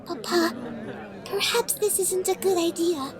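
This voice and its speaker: A woman playing a timid, gentle young pony filly, a devoted daughter, in an audio drama. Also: extremely high pitch, measured pace, crowd noise. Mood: worried. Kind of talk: audio drama acting and narration. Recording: music under the voice.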